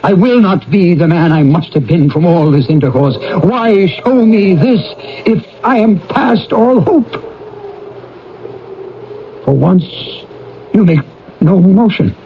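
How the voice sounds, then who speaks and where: An actor playing a reformed old miser in a theatrical radio drama, with an emotional, exclamatory delivery. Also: male voice, sits high, animated, takes it slowly, pauses often, slight background noise, exaggerated voice. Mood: desperate pleading. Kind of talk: theatrical radio drama acting with first-person narration. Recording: muffled old radio broadcast with music. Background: music.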